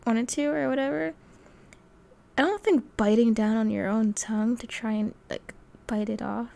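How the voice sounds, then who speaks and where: A woman's voice: a little high, creaky, a little mellow. A young woman giving casual let's-play commentary, muttering wry, offhand remarks as she goes. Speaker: gaming streamer.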